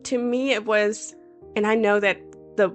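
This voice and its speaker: A woman, around her thirties, with a hoarse voice after a cold, chatting casually and rambling with many fillers over a video game build. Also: mid-range voice, steady tone, a little slow, soft background music. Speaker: Sims builder and YouTuber.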